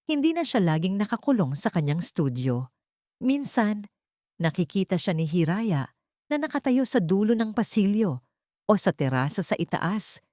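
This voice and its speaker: A woman's voice: medium pitch, big pitch swings, normal speed, darkish tone, no room sound, clean studio sound. A woman narrating a scripted dramatic story as an audiobook storyteller.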